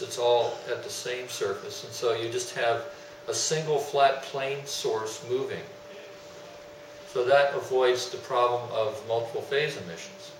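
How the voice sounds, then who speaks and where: Man presenting, recorded from across an echoey room. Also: mid-range voice. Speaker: presenter explaining loudspeaker technology. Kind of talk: explanatory technical presentation. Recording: roomy, distant mic, faint hum.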